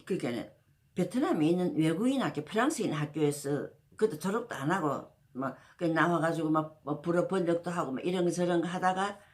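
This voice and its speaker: A woman's voice: lowish voice, brisk. A woman, casually telling a history story as a channel host, rambling with many fillers and restarts. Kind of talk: casual spoken history talk.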